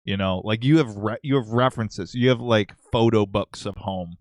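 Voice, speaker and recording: A man's voice: on the low side, moderate pace, no echo. A man in his thirties, a podcast guest, speaking in a blunt, philosophical, matter-of-fact way. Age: 30s. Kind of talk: casual podcast chat.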